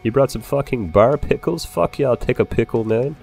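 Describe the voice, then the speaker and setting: A man's voice: medium pitch, a little fast, creaky, darkish tone, soft background music. A man role-playing in casual improvised chat and storytelling, rambling and swearing freely as he goes. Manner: profane, rambling. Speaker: role-play gamer telling a story in character.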